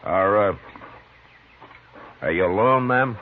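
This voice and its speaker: An actor playing a steady, grim American frontier marshal in a 1950s western radio drama, speaking in a terse, measured way. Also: male voice, on the low side. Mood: wary. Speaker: lead character and narrator. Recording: muffled, noisy 1950s radio recording.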